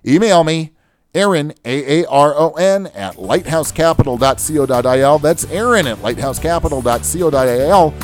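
A man's voice: a little high, lively intonation, moderate pace, soft background music. A man hosting a financial advice radio show, talking to listeners conversationally with casual asides and self-deprecating jokes. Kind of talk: advice talk show monologue.

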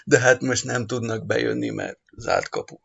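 A man's voice: mid-range voice, little pitch movement, creaky, a bit bright. A man chatting casually as a panelist on a football podcast. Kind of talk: casual chat. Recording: dull, lo-fi audio.

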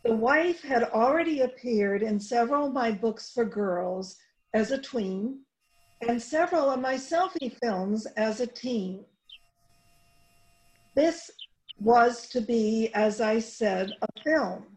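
A woman giving a prepared introduction as director and playwright, speaking deliberately, phrase by phrase. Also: on the high side, expressive, darkish tone, poor audio.

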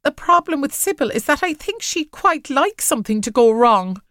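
A woman narrator plays an anxious spinster sister from a storybook. The voice lifts high and swoops with worry, timid and pleading, and it is recorded dry and close. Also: big pitch swings, moderate pace, a bit bright, dry recording. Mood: anxious, fretful. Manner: worried, fretting.

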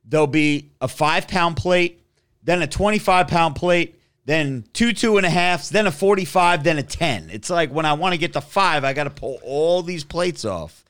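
A man's voice: a little high, normal speed, clean tone, no room sound, clean studio sound. A man hosting a podcast, reading listener messages aloud and joking around in casual chat. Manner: animated and joking. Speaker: podcast host.

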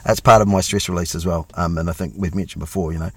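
A man's voice: low-pitched, fairly even intonation, quick pace, fairly bright tone, slightly rough, no echo. A middle-aged man, a police officer chatting casually and self-deprecatingly as an interview guest.

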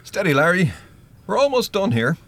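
An actor playing a wry, unsparing spirit guide in a radio play, with a measured, teasing, blunt manner. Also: male voice, high-pitched, very animated, fairly bright tone, exaggerated voice. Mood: blunt, firm.

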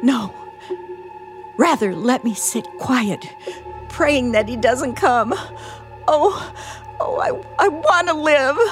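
An actress playing a frantic, terrified middle-aged woman held captive, halting and stammering in the manner of an old radio suspense play. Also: sits high, expressive, music in the background. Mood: anguished dread. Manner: breathless, stammering, pleading, halting. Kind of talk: old-time radio suspense drama acting.

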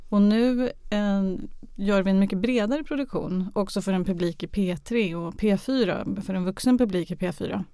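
A woman's voice: mid-range voice, warm tone, clear, resonant tone, no echo, studio quality. A woman answering interview questions hesitantly, searching for words. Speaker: guest, radio drama artistic director.

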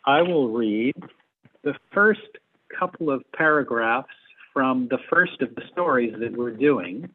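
A man, likely middle-aged, speaking as an interview guest in a measured, hesitant way, picking his words. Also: mid-range voice, expressive, narrow, tinny audio. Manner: hesitant, measured, repeats words while choosing them. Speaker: theatre adapter and actor, guest. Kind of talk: interview conversation.

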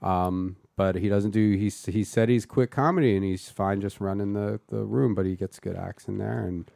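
A man's voice: lowish voice, fairly even intonation, moderate pace, vocal fry, warm tone, dry recording. A man from Chicago hosting a podcast, chatting in a laid-back, rambling, slangy way. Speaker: podcast host. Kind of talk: casual chat.

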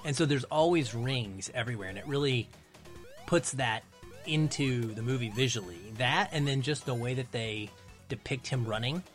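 A man's voice: mid-range voice, normal speed, faint music behind. A man talking casually and enthusiastically about films as a podcast guest. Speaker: podcast guest, film critic. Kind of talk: casual film discussion.

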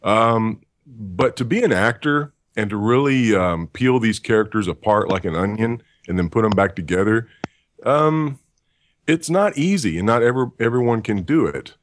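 A man who works as a voice actor, with a deep voice and a dry, self-deprecating sense of humor, answering interview questions. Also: animated, normal speed, no room sound.

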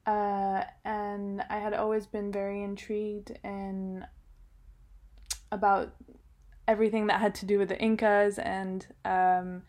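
A woman's voice: mid-range voice, little pitch movement, a little slow, darkish tone. A young adult woman from Switzerland answering interview questions in a casual, hesitant way, with many fillers and restarts. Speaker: guest, designer.

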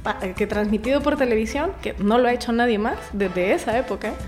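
A talkative Salvadoran woman in her forties, a singer-songwriter guest recounting things in a relaxed, laughing way. Her voice sits a little high for a woman, and music plays under the talk. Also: a little fast. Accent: Salvadoran Spanish. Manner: chatty, laughs often, restarts words. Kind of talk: casual interview chat.

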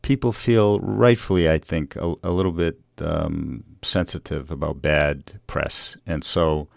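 Very deep, gravelly middle-aged American man. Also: steady tone, measured pace, no echo, studio quality. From Revere, Massachusetts, USA. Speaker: novelist being interviewed. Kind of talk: conversational interview.

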